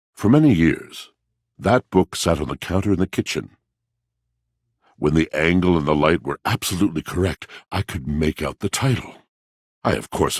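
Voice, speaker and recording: A man voicing a pompous, melancholy talking washing machine in a video game, with gravelly, old-fashioned, florid and theatrical speech. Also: low voice, no echo, studio quality, heavily acted. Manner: pompous, archaic, florid, brooding diction.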